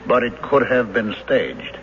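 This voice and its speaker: Man acting a probing police lieutenant, very varied intonation, measured questioning, radio drama, muffled recording. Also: mid-range voice, animated, lightly acted. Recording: dull hissy old radio recording.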